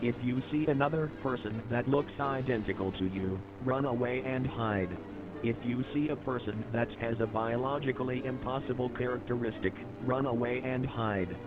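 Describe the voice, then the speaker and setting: A man's voice: medium pitch, moderate pace. A man reading a scripted emergency broadcast announcement in a formal, instructive manner. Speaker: announcer in a horror clip. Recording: dull lo-fi analog horror tape. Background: prominent music.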